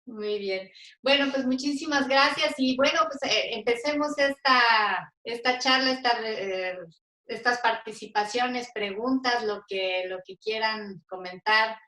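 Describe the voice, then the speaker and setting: A woman moderates a discussion informally, restarting her phrases as she goes. Her voice is bright and a bit high, with clear room echo around it. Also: measured pace. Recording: roomy, echoey audio.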